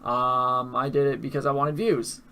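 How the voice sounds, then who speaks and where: A man's voice: mid-range voice, flat delivery, moderate pace, bright, forceful tone, slight background noise. A young man casually commenting with profanity, mocking his own old videos.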